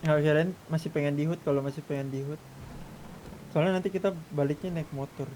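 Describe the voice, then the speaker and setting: A man chats casually in a game voice chat. He speaks quickly in a slightly high voice. The audio is clearly noisy, with game ambience behind him. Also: steady tone. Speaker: fellow roleplay gamer.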